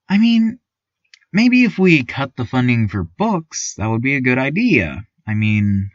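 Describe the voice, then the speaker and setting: A man playing a timid, awkward, eerily cheerful small-town radio news host, stammering and pausing through a surreal fiction podcast monologue. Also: medium pitch, dry recording. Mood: musing, earnest. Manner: hesitant, stammering, many ums and pauses. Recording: dull, lo-fi, slightly muffled sound.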